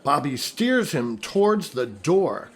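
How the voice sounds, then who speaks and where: Brief: male narrator for radio drama, husky, unhurried but animated. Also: a little high. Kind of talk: scripted radio play narration.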